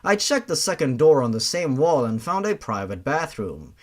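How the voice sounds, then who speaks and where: A man's voice: medium pitch, big pitch swings, moderate pace. A man narrating a story in a theatrical, dramatic way, with sarcastic asides.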